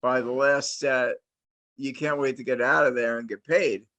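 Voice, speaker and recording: A man's voice: medium pitch, fairly even intonation, normal speed, bright, forceful tone, no echo. A middle-aged man from New Jersey hosting a casual interview, telling long stories with many restarts and fillers. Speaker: host, interviewer.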